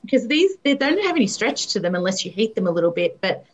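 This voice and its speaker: A woman's voice: medium pitch, sing-song, fast, slight background noise. A woman chatting casually as a distillery co-founder, telling detailed stories. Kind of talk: casual chat.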